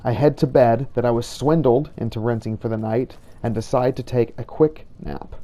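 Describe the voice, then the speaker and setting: A man's voice: mid-range voice, fairly even intonation, normal speed, dark tone, slight background noise. A man narrating his game character's story in the first person, in a wry storytelling manner. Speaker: game player narrating a role-play.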